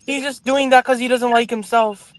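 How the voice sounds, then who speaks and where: A man's voice: very high-pitched, fairly even intonation, moderate pace. A man talking as a guest on a casual talk show, arguing his points earnestly and at length.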